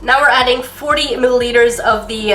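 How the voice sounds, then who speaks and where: A young woman with a stuffy nose from a cold, talking to camera in a sarcastic, animated way as she reviews a craft kit, her voice cracking now and then. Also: a little high, brisk, reverberant, music in the background, poor audio. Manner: sarcastic, animated, mock-outraged. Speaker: craft channel host. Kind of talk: casual sarcastic product review.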